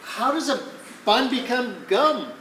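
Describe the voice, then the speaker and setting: A man's voice: very high-pitched, very animated, slow pace, a bit bright, heavily acted. A man on stage playing a doubtful, questioning actor in a comedic play about a rehearsal. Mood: skeptical. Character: skeptical actor questioning an absurd rehearsal script. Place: live stage at a one-act play festival. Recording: roomy stage sound with noise.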